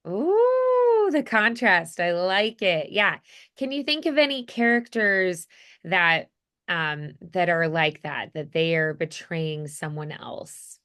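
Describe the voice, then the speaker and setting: Lady voice coach chatting casually, kinda low smooth voice, thinks out loud, says like a lot. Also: lively intonation, slow pace. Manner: hesitant, thinking aloud, peppered with "like". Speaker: voice acting teacher. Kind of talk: casual one-on-one lesson chat.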